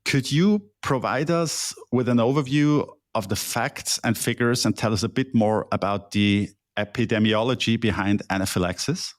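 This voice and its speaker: A man's voice: medium pitch, no echo, clean studio sound. A man from Switzerland, a senior emergency physician hosting a podcast and asking formal, carefully prepared questions. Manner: formal, earnest, deliberate. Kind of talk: hosted interview, prepared questions.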